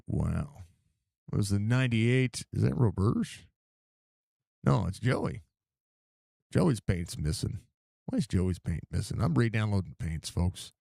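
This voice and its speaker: A man with a stuffy, congested voice calling a live sim race, excited and rambling with lots of fillers. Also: on the low side, gravelly, no echo, studio quality. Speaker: sim racing broadcaster.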